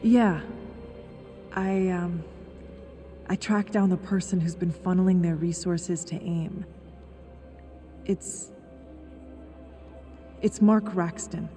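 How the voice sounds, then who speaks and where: Guarded young American informant, mellow. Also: female voice, lowish voice, fairly even intonation, takes it slowly, long pauses, faint music behind. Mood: dismayed, wry. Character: guarded, resolute young female informant from a wealthy family. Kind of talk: video game dialogue.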